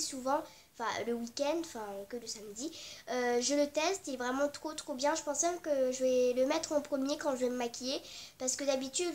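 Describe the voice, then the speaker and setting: High-voiced girl from with a cold. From Réunion. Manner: chatty and rambling, apologetic, many fillers and restarts. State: has a cold, stuffy. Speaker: beauty vlogger. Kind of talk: casual beauty vlog chat.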